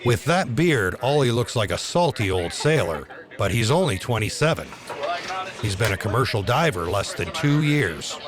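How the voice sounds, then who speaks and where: A man's voice: medium pitch, sing-song, moderate pace, crowd noise. A man reading scripted documentary narration for a reality television series. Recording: music bed behind voice. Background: loud music.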